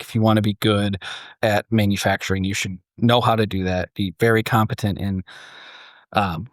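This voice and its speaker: A man hosting a casual conversational podcast, talking like an animated storyteller who acts out imagined remarks. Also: on the low side, no echo, studio quality. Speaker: podcast host.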